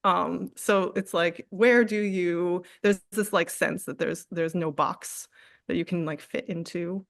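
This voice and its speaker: A woman artist in a candid interview, speaking reflectively with frequent fillers, restarts and soft laughs. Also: fairly low pitch, fairly even intonation, slow pace, gravelly, no room sound, clean studio sound. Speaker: artist guest.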